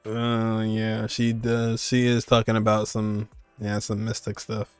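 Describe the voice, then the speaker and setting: Man, slightly deep voice, casual game reaction, informal, thinking aloud, soft music behind. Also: completely flat delivery, measured pace, clean tone, no room sound. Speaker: gaming commentator. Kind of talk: casual reaction commentary over game cutscenes.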